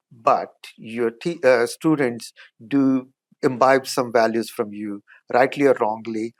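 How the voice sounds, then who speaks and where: A middle-aged man with an Indian accent, a professor answering interview questions in a measured, explanatory way with frequent pauses. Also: medium pitch.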